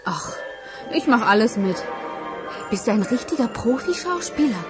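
A brash, mocking woman in a radio crime play. Her voice is fairly high and lively, flippant and teasing, with faint music behind. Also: normal speed. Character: brash, mocking woman hired as film actress. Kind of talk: German radio crime drama acting.

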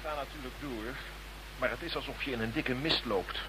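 An actor playing a weary, baffled but dogged police investigator in a radio play. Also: male voice, mid-range voice, fairly quick, natural voice. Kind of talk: scripted radio play acting. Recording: faint hum and noise.

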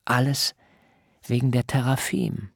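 Shrewd composed man in a radio drama, really slow n measured, very dry sound. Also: medium pitch, little pitch movement, husky, dry recording. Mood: measured narration. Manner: measured, deliberate, articulate. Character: self-possessed, shrewd son-in-law, righteously astonished storyteller. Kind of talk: literary radio drama acting and narration.

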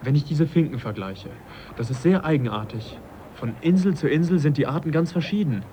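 An actor playing a modest, sceptical young English naturalist in a German radio drama, speaking in a reflective, politely questioning way. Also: male voice, fairly high pitch, lively intonation, brisk, dark tone, natural voice. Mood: thoughtful. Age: young adult. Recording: dull, faintly noisy radio drama recording.

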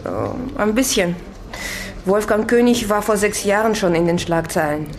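An actress playing a matter-of-fact newspaper colleague in a German radio drama, plain and businesslike. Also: female voice, mid-range voice, expressive, normal speed, a little hiss or hum, lightly acted. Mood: serious, informative.

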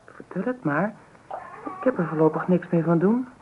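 Woman acting young mum in old radio play, animated, chatty, bit scoldy, tinny hissy recording. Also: fairly low pitch, normal speed, close to an everyday voice. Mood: exasperated. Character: fond but exasperated young mother of a toddler.

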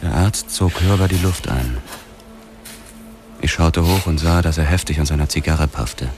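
An actor narrating as a young male detective, grave and measured, in a radio drama. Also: rumbling low, music in the background. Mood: grim.

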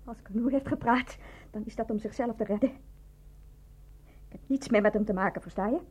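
A woman's voice: fairly high pitch, fairly quick, darkish tone, husky, lo-fi sound. A woman playing a defiant, bitter nurse in a radio drama, with emphatic, combative delivery. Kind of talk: melodramatic radio drama acting.

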